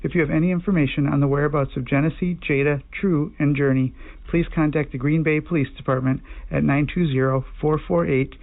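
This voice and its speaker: A synthetic-sounding man reading a formal scripted alert bulletin in a measured, impersonal way over tinny weather radio. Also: on the high side, steady tone, gravelly. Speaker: automated emergency alert announcer. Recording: tinny band-limited weather radio broadcast.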